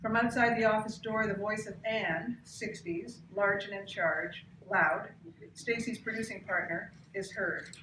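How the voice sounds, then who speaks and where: A woman's voice: mid-range voice, a little slow, sharp tone. A woman, the author, hosting a live table read and narrating the stage directions. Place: cramped bookstore, live event. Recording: very echoey room, distant mic, clear noise.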